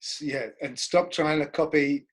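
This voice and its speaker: A man's voice: fairly high pitch, measured pace. A man chatting casually and reflectively as an interviewer, with informal, loosely phrased remarks.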